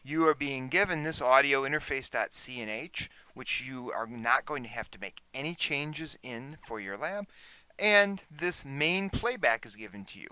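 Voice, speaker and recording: A man speaking as an instructor, explaining a software demonstration in a measured, instructional way. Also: mid-range voice, clean tone, no echo, low-quality recording.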